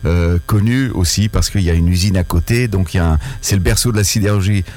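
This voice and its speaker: A man's voice: deep voice, fast talker, dark tone, faint music behind. A man co-hosting a radio interview, asking probing questions and adding background details. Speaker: radio co-host.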